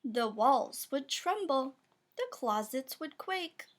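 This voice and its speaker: A woman's voice: high voice, lively intonation, takes it slowly. A woman reading a rhyming children's picture book aloud, with playful emphasis on the sound words. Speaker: storybook reader.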